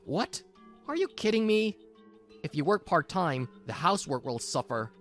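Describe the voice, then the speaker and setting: A scornful young husband acted by a woman in a manga drama, low in pitch with lively swings. He is callous and spoiled, with music underneath. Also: normal speed. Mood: scornful, indignant. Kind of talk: manga dub drama acting. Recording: music behind the voice.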